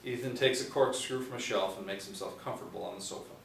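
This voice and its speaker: A man's voice: lowish voice, fast talker, vocal fry, a bit bright. A man in his forties giving scripted narration, reading out screen directions. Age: 40s. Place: small indoor room, seated group. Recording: roomy, distant mic with faint noise.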